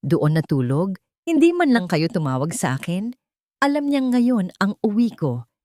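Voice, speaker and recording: A woman's voice: medium pitch, big pitch swings, moderate pace, warm tone, no echo, clean studio sound, lightly acted. A woman storyteller voicing an anxious, loving mother with urgent questions in a dramatic story. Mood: anxious, suspicious. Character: anxious, loving young mother searching for her child.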